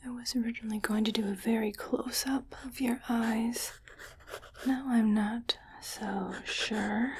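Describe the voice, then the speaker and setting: A woman voicing a haughty, fussy alien collector in a breathy, whispered ASMR roleplay, with a condescending manner. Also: a little high, fairly even intonation. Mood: calculating. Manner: slow, deliberate, condescending.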